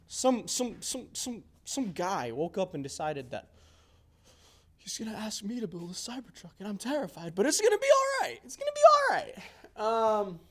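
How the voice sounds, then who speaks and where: A man's voice: high voice, fairly bright tone. Jokey, rambling young man. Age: early twenties. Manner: jokey, self-deprecating, rambling with playful exaggeration. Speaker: host giving a workshop tour. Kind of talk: casual off-the-cuff walking tour chat. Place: indoor workshop.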